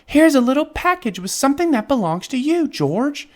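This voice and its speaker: Man putting on a high-pitched, caring storybook nurse. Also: sing-song, normal speed. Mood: kindly, bright. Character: caring, brisk female hospital nurse.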